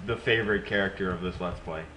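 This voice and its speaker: A man's voice: low voice, quick pace, poor audio. A young man chatting casually while playing a video game with friends, joking and stumbling over restarts. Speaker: let's play co-host. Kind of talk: casual gaming chat.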